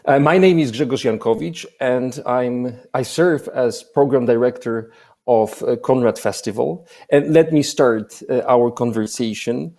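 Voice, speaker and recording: A man's voice: mid-range voice, unhurried, a little mellow. A man with a Polish accent who hosts a festival interview over a video call, speaking formally with many hesitations and filler sounds. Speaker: festival program director, interviewer.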